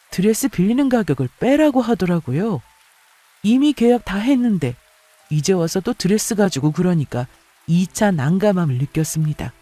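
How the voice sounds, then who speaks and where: A man's voice: high voice, mellow. A man reading a scripted first-person story as a dramatic radio-style narrator.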